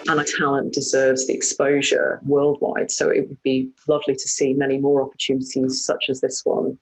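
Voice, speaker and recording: A woman, a past prize winner, giving a prepared statement in an even, measured way. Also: extremely low pitch, slightly rough, rough recording.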